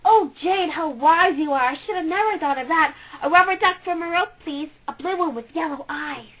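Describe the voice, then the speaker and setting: A woman voicing Maya, an eager, delighted young star girl who talks in an excited, breathless way. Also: high voice, normal speed, rough recording.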